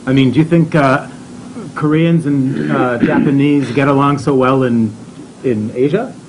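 Man, thirties, panelist, thoughtful and measured, faint room noise. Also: medium pitch, steady tone. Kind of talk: panel talk. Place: seminar room.

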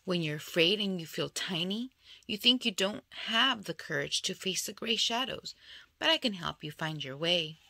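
A woman reading a children's picture book aloud in an expressive storytelling style. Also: lowish voice, moderate pace, vocal fry. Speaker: storybook reader.

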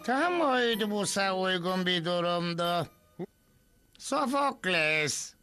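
A man's voice: high-pitched, animated, slow, music in the background. A man voicing a bossy, bookish hand puppet in a dubbed cartoon. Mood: know-it-all. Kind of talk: dubbed cartoon character acting.

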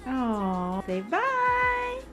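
Mother at home, warm playful chat, unhurried with a bouncy pitch, background music. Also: wildly swooping pitch. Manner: playful, doting, laughs easily. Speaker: mother, family vlogger. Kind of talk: casual family chat.

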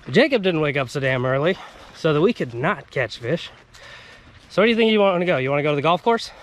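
A man's voice: fairly high pitch, lively intonation, fast, a bit bright. A man in his thirties chatting casually for an outdoor fishing vlog, laid-back and given to swearing. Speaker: vlog host, angler. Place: outdoors by river, woods and pond. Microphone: action camera on body or at arm's length. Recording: outdoor action-camera sound with faint ambient noise.

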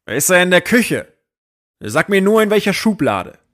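A man acts out an everyday guy in a radio play, chatting in a colloquial, conversational way. His voice is high for a man. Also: big pitch swings, moderate pace, a bit bright. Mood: casual, pushy. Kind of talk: audio drama dialogue.

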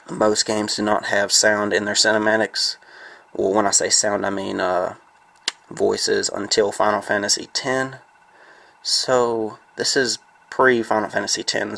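A man's voice: fairly low pitch, vocal fry, a bit bright. A man with a stuffy, congested voice from a sinus infection, casually talking through a game as he plays it, with rambling and many fillers. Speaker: gameplay reviewer. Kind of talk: casual gameplay commentary.

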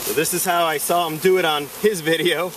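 Casual first-timer, male, a touch higher voice, outdoor camera audio from a few steps away with buzzing bees. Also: steady tone, fairly quick, distant mic, noisy background. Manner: hesitant, unsure, self-deprecating. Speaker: first-time beekeeper. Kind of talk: casual how-to narration while working. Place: outdoors in a leafy yard.

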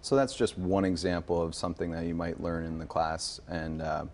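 A man's voice: deep voice, little pitch movement, normal speed, darkish tone, a little hiss or hum. A man in his thirties, a guest in a TV studio, explaining things informally like a sommelier and often checking with a "right?". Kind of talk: casual live TV wine demonstration. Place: TV news studio.

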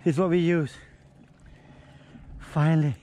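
A middle-aged man chatting excitedly while fishing from a small boat outdoors, whooping and laughing at each catch, recorded on an action camera. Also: on the high side, a little slow, a little hiss or hum. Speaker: fishing vlogger.

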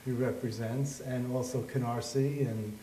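A man's voice: medium pitch, fairly even intonation, unhurried, mellow, roomy sound, a little hiss or hum, low-quality recording. An older man co-hosting a studio interview, asking blunt, conversational questions. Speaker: co-host, columnist.

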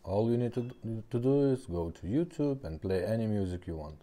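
A man's voice: fairly low pitch, animated, fairly quick, dark tone. A man casually demonstrating a product, explaining step by step in simple, non-native English phrasing. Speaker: presenter of a car radio repair shop demo. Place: indoors at a tabletop.

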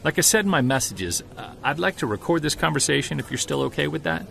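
A man playing a driven, defiant Bigfoot hunter narrating an audio-drama journal with earnest intensity and emphatic pauses. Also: a little high, expressive, creaky, fairly bright tone, slight background noise, exaggerated voice. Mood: calm, friendly.

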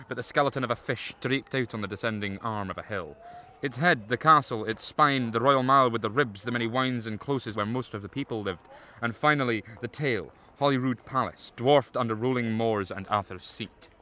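A man's voice: mid-range voice, a little fast, sharp tone, slight background noise, lightly acted. A man playing a grim ghostly tour guide, narrating in a sinister, theatrical storytelling style. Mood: grim, wry. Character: grim ghostly guide to old Edinburgh's bloody past.

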